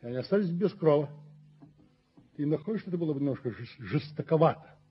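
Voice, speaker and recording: An actor playing a firm, self-justifying rich father and landlord in a radio play, speaking in a measured, lecturing way. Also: fairly high pitch, expressive, soft background music, lightly acted. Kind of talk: Soviet radio play acting. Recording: dull 1970s radio drama recording.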